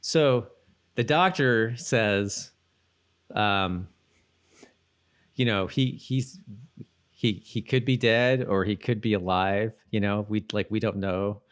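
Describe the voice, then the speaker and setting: A man's voice: lowish voice, slow, pauses often, clean tone. A man co-hosting a podcast in casual TV recap chat, talking in a rambling, self-correcting way. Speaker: podcast co-host.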